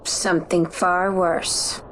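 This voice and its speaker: A woman voicing a calm, aloof female warrior of a rabbit-eared race in a video game, speaking slowly and gravely. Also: on the low side, a little hiss or hum, low-quality recording. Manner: slow, measured, grave. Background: game soundtrack music.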